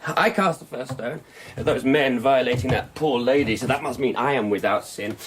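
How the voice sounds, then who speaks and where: An actor playing a frightened, zealous street preacher in a radio drama, fretful and rambling, with biblical phrasing and repeated words. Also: male voice, mid-range voice, normal speed, natural voice. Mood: rambling, forlorn. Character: frightened, zealous street preacher clinging to faith amid apocalypse. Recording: loud ambient noise behind the voice.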